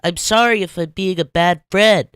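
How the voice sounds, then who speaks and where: High, stammering, anxious cartoon sponge. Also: male voice, normal speed, a bit bright, smooth, dry recording, studio quality. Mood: guilty, pleading. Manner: stammering, apologetic, whimpering. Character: eager, anxious, lovestruck young cartoon sponge. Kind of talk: dramatic fan fiction reading.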